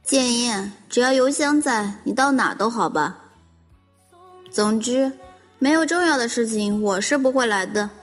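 A woman's voice: on the high side, lively intonation, measured pace. An actress playing a blunt, haughty, impatient young woman in a radio drama. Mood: bored, disdainful. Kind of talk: Chinese radio drama acting. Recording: long reverb, music underneath.

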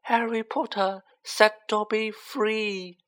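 A storybook reader voicing a small male house-elf servant with a shrill, squeaky voice and a devoted, wide-eyed manner. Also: flat delivery, fairly bright tone. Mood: tearful joy. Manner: slow, drawn-out, wide-eyed, speaks of himself in third person.

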